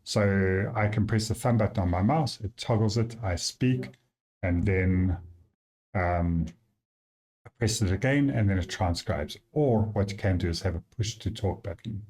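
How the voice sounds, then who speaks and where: A man with a South African accent, the app's developer, casually walking through his software and thinking aloud with frequent fillers. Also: sits low, measured pace. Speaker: app developer giving a demo.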